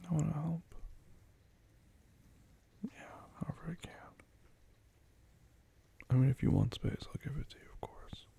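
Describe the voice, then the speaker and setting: A man whispers close and low in a comforting ASMR roleplay, every word tender and reassuring. His voice is heavily gravelly with deep fry, warm and mellow in tone, and stays hushed throughout. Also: a little slow, long pauses.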